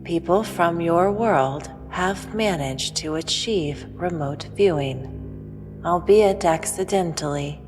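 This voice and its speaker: An actress playing an enigmatic, all-knowing otherworldly visitor in a sci-fi audio drama, speaking in a calm, measured, aloof way. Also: female voice, lowish voice. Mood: serene. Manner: measured, matter-of-fact. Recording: long reverb, music behind. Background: music.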